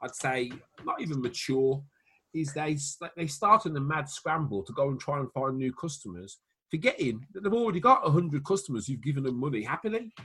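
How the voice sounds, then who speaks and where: A man's voice: a little high, sing-song, brisk, sharp tone, breathy edge. A man with a Welsh accent talking business in a casual video call, chatty, laughing often and dropping punchy asides. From Wales. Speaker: marketing consultant.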